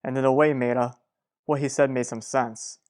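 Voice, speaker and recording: A woman playing a mild, kindly husband in an old-time radio soap, talking in a measured, affectionate way. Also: rumbling low, fairly even intonation, a little mellow. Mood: measured, reflective. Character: mild, steady, kindly husband devoted to work.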